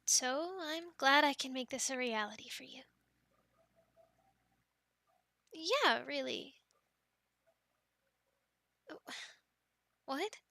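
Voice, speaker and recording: A young woman voicing an affectionate, teasing girlfriend in an ASMR roleplay, speaking softly, giggling and dropping into whispers, close to the mic. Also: high-pitched, dry recording, exaggerated voice. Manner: soft and giggly, drifting into whispers.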